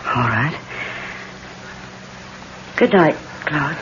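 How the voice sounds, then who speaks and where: An actress playing a wealthy, affectionate but fretful fiancée with a genteel, fussing manner in a radio drama. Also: female voice, low voice, lively intonation, drawn-out words, exaggerated voice. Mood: concerned, tender. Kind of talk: 1970s radio drama acting. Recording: dull, noisy old radio broadcast.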